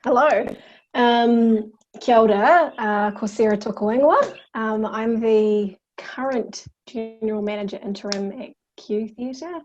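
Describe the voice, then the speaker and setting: A woman's voice: a little high, slow pace, darkish tone, lo-fi sound. A woman introducing herself on a video call, hesitant and full of ums. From New Zealand. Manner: hesitant, with many ums and uhs. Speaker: theatre manager.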